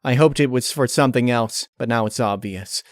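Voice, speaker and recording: A man as a blunt, uneasy girl pony, raised pitch. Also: moderate pace, no echo, studio quality, lightly acted. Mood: reproachful. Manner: plain-spoken, questioning. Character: blunt, uneasy young female pegasus pony with a conscience.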